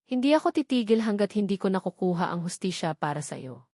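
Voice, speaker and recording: A woman narrator voicing a guarded, defiant female lawyer in an audio drama, stern and measured. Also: medium pitch, animated, warm tone, dry recording, studio quality. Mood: grieving, resolute.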